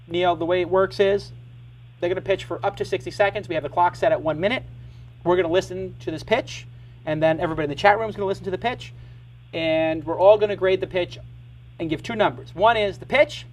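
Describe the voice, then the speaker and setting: A middle-aged man hosting a live startup show, joking and talking fast in bursts. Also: high voice, low-quality recording. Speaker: podcast host.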